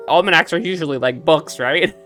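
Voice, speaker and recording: A man's voice: a little high, fairly quick, sharp tone, faint music behind. A man giving casual, chatty game commentary, reacting and musing aloud as he plays. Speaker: let's play streamer.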